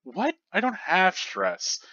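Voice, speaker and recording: A brash, curt teenage hero, raised high and forceful, snapping out short lines, as a man voices him. It has a bright, sharp edge and a dull, lo-fi sound. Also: wildly swooping pitch, takes it slowly, no room sound, exaggerated voice. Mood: irritated. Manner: curt, irritable.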